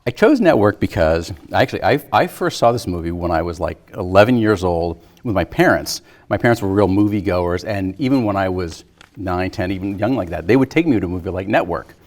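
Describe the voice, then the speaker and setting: A man's voice: low voice, expressive, a little fast, a little mellow. A middle-aged man from Philadelphia talking casually about film as a guest on a show. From Philadelphia, United States. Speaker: guest, local newspaper employee.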